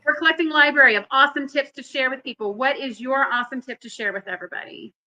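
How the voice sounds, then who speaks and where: A woman with a high, bright voice hosts a live online quilting event. She talks in a bubbly, effusive way, full of praise for her guests. She is heard over a video call setup. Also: fast, dull audio. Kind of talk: livestream chat.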